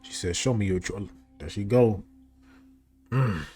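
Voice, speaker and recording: A man's voice: on the low side, unhurried, dark tone, husky. A man giving casual, slangy livestream reaction commentary, often repeating short phrases. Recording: game music behind the voice.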